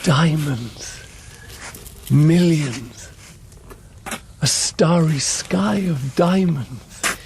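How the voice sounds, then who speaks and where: An actor playing a dreamy, curious emperor in a radio drama, speaking in a measured, stately, wondering way. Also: male voice, on the high side, background noise. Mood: awed, dreamy.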